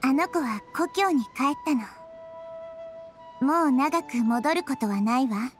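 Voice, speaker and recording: A woman voicing a small, wise, gentle girl deity in an anime-style game, soft and thoughtful. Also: high voice, expressive, unhurried, faint music behind. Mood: tender, consoling.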